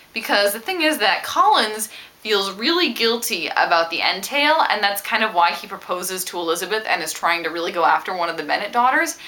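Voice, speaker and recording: Lively, bright-voiced woman chatting about books. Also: mid-range voice. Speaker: book vlogger. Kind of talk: casual book discussion to camera.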